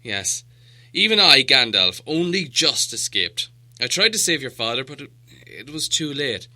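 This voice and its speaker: A man's voice: mid-range voice, big pitch swings, measured pace, bright, forceful tone, pitched above the performer's own voice, full character voice. A man voicing a stern, impatient old wizard in a storybook, speaking emphatically and with grim self-assurance. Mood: testy, grave. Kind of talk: storybook character voice.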